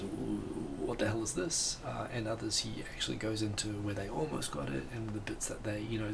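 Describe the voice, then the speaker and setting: Gravelly New man on a livestream. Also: mid-range voice. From New Zealand. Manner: rambling, animated hand gestures. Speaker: livestream host. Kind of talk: casual chat. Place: home room, indoors. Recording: noisy home livestream.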